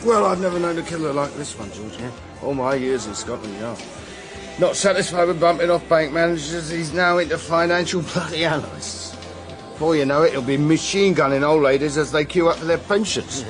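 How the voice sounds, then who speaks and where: An actor playing a world-weary veteran British police detective, deadpan and exasperated, in a radio drama. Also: male voice, fairly high pitch, a little fast, over music, close to an everyday voice.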